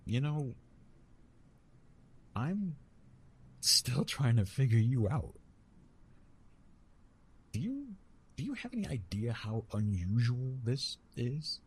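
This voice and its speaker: A man playing Eros, a gently amused, bashful retired god of love, in a halting, teasing, intimate ASMR roleplay monologue. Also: mid-range voice, very animated, slightly rough, heavily acted. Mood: amused, bemused. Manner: hesitant, halting, teasing, with repeated words.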